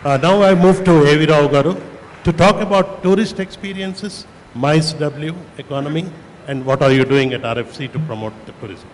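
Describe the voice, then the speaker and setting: A man with an Indian English accent moderating a panel, brisk as he directs questions. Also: fairly high pitch. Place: large conference hall. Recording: echoey hall, faint noise.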